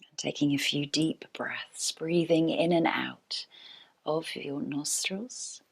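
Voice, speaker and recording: Soft low lady yoga teacher, really slow and calm, bit of gravel in it. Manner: calm, unhurried, gentle pauses. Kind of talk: slow guided relaxation instruction.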